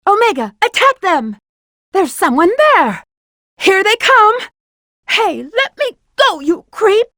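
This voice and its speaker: A woman's voice: very high voice, big pitch swings, slow pace, bright, forceful tone, no echo, clean studio sound, heavily acted. A woman voicing a confident, sly female bat treasure hunter in a video game, with a sassy manner. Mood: alarmed.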